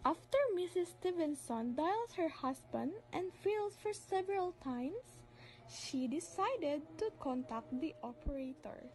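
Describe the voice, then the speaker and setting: A woman's voice: high-pitched, expressive, a little slow, a little mellow, rough recording. A teenage girl reading scripted narration for a radio drama.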